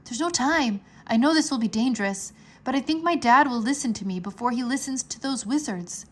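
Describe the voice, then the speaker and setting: Storybook boy wizard, played by a woman: earnest, wistful but determined, fairly high voice, hurried delivery. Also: expressive. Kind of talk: children's storybook character voice.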